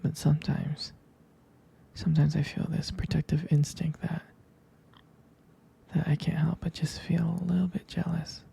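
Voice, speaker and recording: A man playing a devoted, jealous, protective boyfriend in ASMR roleplay, speaking in a breathy, husky whisper with a hesitant, intimate, tender delivery. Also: fairly high pitch, level tone, normal speed, pauses often, mellow, full character voice. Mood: jealous, earnest.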